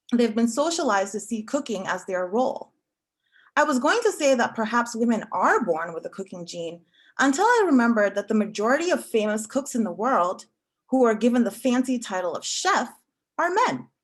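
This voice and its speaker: A woman reading a prose essay aloud at a literary event in a measured, earnest way. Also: a little high, very animated, slightly rough. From Africa. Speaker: event reader.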